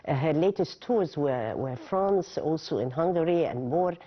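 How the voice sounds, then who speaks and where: A woman TV host asking formal questions, often pausing to search for words. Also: sits low, expressive, highs cut off. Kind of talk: TV studio interview.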